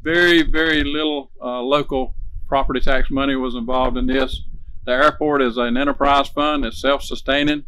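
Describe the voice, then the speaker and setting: An older man speaks as a county official at an outdoor ceremony. His delivery is halting, with pauses as he searches for words. His pitch is medium, and there is some noise behind the podium microphone. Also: fairly even intonation, bright, forceful tone. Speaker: county board official giving a speech. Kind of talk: dedication ceremony speech. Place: outdoor podium by a brick building. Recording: noisy outdoor podium recording.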